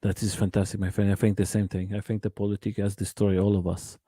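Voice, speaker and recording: A man's voice: on the low side, little pitch movement, warm tone, slightly rough, no room sound, studio quality. Man hosting a live chat. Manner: halting non-native English, many uh fillers. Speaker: channel host interviewer. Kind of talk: live-stream interview chat.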